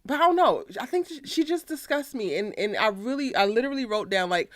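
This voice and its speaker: A woman's voice: fairly high pitch, sing-song, fairly quick, fairly bright tone, dry recording, clean studio sound. A woman hosting a casual discussion podcast, talking in a chatty way and speaking directly to her viewers. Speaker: podcast host.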